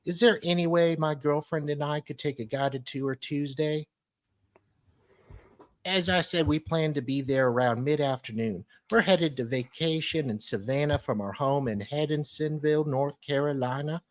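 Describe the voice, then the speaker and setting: A man's voice: fairly high pitch, normal speed, no echo. A man co-hosting a casual show, reading emails aloud and chipping in with short remarks.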